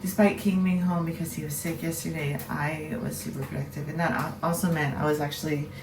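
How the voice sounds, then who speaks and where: A woman's voice: sits low, steady tone, a little fast, reverberant, far from the mic, noisy background. A woman, a mother of two, chatting casually and rambling a bit as she makes coffee in her kitchen. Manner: rambling, chatty, self-deprecating. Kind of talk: casual chat. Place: home kitchen, indoors. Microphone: camera set at a distance.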